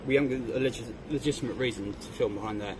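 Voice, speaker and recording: A man's voice: medium pitch, rapid-fire, crowd noise. A male police officer on duty outdoors, questioning a man in short, guarded replies. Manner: clipped, repeated "okay", guarded. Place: outdoors by an embassy gate. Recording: street traffic noise behind.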